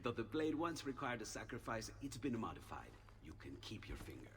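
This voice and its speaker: An actor playing an eager, absent-minded young Renaissance inventor with an Italian accent in a video game cutscene, exclaiming and muttering to himself. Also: male voice, on the low side, very animated, fairly quick. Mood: playful, earnest. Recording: reverberant room with music behind.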